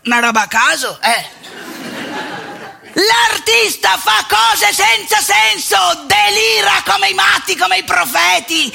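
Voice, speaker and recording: A woman's voice: sits high, gravelly, bright, forceful tone, heavily acted. A woman playing a wry, wounded old former seminarian priest in a theatrical monologue, talking colloquially and prodding the audience with questions. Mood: teasing, lecturing. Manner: colloquial, teasing, rhetorical questions and tag words. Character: aging Veneto ex-seminarian priest, wry, wounded, ranting storyteller. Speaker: stage monologue actor.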